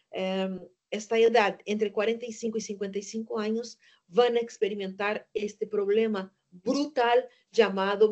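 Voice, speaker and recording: A woman's voice: medium pitch, a little mellow, highs cut off. A woman from Brazil with a Brazilian Portuguese accent, a gynecologist giving a webinar lecture in an earnest, emphatic way. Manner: earnest, emphatic, asks and answers own questions.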